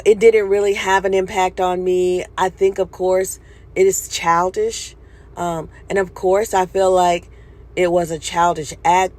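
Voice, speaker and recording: A woman's voice: fairly low pitch, a little mellow. A woman hosting a commentary video, talking informally and emphatically with strong opinions about sports news.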